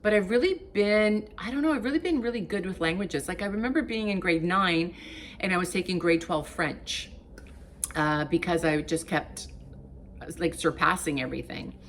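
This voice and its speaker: Woman in her 50s, portuguese first language, lowish voice, chatty vlog talk to her viewers, faint noise behind. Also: normal speed. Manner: chatty and encouraging, addresses viewers directly. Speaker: vlogger. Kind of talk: casual chat to camera.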